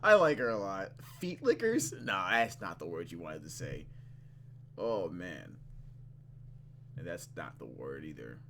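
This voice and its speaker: Casting: playful male let's-player, lively swings in pitch, narrates game text and reacts casually, over clear background noise. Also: unhurried, long pauses. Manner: playful, expressive, acts out reactions. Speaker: gaming channel host. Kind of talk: let's play, reading story text aloud with casual commentary.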